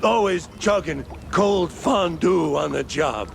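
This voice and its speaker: A man's voice: on the high side, expressive, takes it slowly, vocal fry, slight background noise, heavily acted. A man voicing a self-loathing drunkard husband in a cartoon game, hesitant and maudlin as he pleads. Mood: sheepish, pleading.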